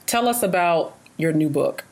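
A woman's voice: fairly low pitch, expressive, normal speed, a little mellow. An American woman hosting a casual interview in an enthusiastic, encouraging and slangy way. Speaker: interview host.

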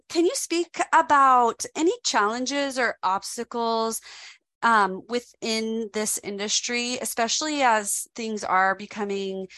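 Bright, upbeat woman podcast host. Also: medium pitch, no room sound. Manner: upbeat, with some fillers. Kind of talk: interview hosting. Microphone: remote call.

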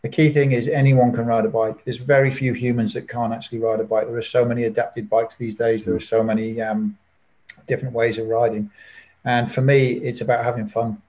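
Flat-voiced New man. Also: medium pitch, brisk, poor audio. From New Zealand. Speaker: podcast panelist. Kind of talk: casual chat.

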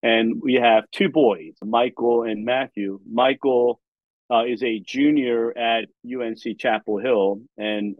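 A man in his forties with an American accent, born in South Korea and raised in the United States, answering as a guest pastor in a steady, friendly way. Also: mid-range voice, no echo, dull audio. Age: 40s. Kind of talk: interview answers.

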